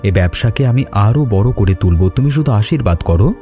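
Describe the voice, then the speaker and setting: A man voicing an earnest, devoted young businessman in an animated story. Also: lowish voice, a little fast, faint music behind, close to an everyday voice.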